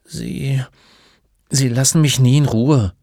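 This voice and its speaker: A shy storybook schoolboy, performed by a man, meek and unsure of himself. The voice sits a little higher and softer, with hesitant phrasing and a very dry sound. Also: slow, dry recording. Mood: timid. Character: shy, bullied, timid schoolboy. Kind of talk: storybook character voice.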